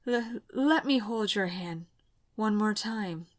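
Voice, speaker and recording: A woman voicing a dying female knight who speaks weakly and haltingly to her young student. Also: mid-range voice, pitched above the performer's own voice, natural voice. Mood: tender farewell. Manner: weak, halting, tender. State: fading, in pain. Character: dying older female knight, gentle mentor.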